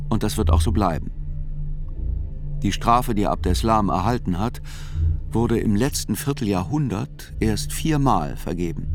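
A man with a fairly low voice narrates a literary court report for radio. His delivery is measured, dry and articulate, with music clearly playing underneath.

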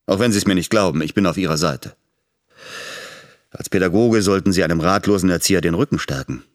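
A man's voice: sits low, brisk, close to an everyday voice. An actor playing an aggrieved, calculating middle-aged politician and father in a radio drama, formal and rhetorical. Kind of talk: radio drama inner monologue.

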